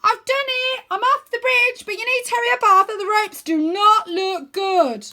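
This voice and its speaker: A woman voicing a cocky, joking young boy adventurer in a storybook, calling out loudly and theatrically. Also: extremely high pitch, normal speed, pitched above the performer's own voice. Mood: boastful, urgent.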